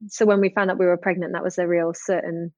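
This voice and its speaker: A woman's voice: lowish voice, level tone, fast, no room sound. English woman, parenting counsellor, chatty, restarts sentences, dry close sound. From England. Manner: chatty, warm, restarts her sentences, laughs often. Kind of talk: casual interview chat.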